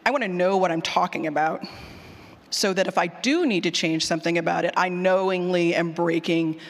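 A speaker giving an informal conference talk on writing, with animated asides and questions repeated back to the audience. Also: mid-range voice, normal speed. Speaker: presenter, fiction podcast writer. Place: conference session room. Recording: hall with long reverb.

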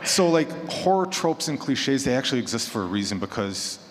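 A man's voice: mid-range voice, big pitch swings, brisk. A man speaking emphatically and conversationally as a podcaster in a casual panel discussion. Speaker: horror fiction podcaster on a panel. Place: large echoey room at a convention panel. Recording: echoey hall, faint background noise.

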